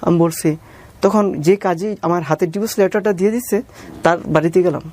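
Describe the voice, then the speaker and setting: A man's voice: high voice, a little fast, a little mellow. Bangladeshi man earnestly recounting his life story. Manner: deferential, calls the interviewer sir. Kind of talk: personal storytelling interview with reenacted dialogue.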